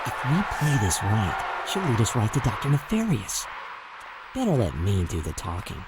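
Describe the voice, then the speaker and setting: A man's voice: lowish voice, huge pitch swings, moderate pace, over music, poor audio. A man playing a cocky, eager young adventurer in a video game, speaking casually and easily flustered. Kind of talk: video game cutscene acting.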